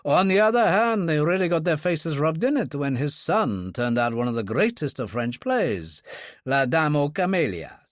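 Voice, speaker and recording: A man voicing a suave Russian gentleman with a slight Russian accent, courtly and ironic, in an audiobook story. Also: very animated, moderate pace, no echo, clean studio sound. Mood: charming. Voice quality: pleasantly deep. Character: suave Russian man, charming, lazily insolent, self-mocking.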